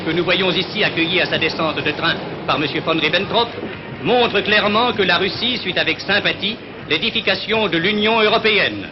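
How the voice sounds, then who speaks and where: A man's voice: high voice, normal speed, background noise. A man narrating a 1940s newsreel in a formal, declamatory announcer delivery, on an old soundtrack. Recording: dull vintage 1940 newsreel soundtrack. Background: music.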